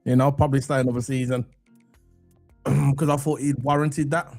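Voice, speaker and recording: A man hosts a relaxed live stream, his voice croaky from a cold. He drifts along with ums and restarts, talking straight to his chat, his pitch in an ordinary middle range, with faint music underneath. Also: moderate pace. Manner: loose and chatty, many ums and restarts, addresses the chat. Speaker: football channel host. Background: soft background music.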